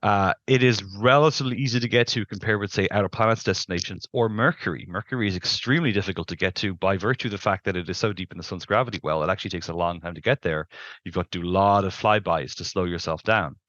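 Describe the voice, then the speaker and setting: A man's voice: low voice, fast, a bit bright, no room sound, highs cut off. A middle-aged man explaining things as an expert interview guest, emphatic and discursive, on a headset in a video call. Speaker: planetary scientist, interview guest.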